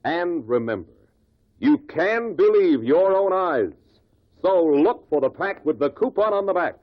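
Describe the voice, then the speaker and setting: A man delivers scripted 1950s radio announcements in an American accent. His voice sits a little high for a man, over music, on a dulled vintage recording. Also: expressive, measured pace, muffled recording.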